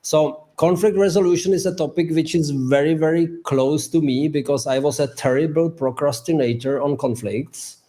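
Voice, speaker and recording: A man's voice: fairly high pitch, warm tone. A man hosting a live online interview as a career consultant, speaking in slightly phrasing over a video call. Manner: halting, slightly non-native phrasing. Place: home or office in Switzerland.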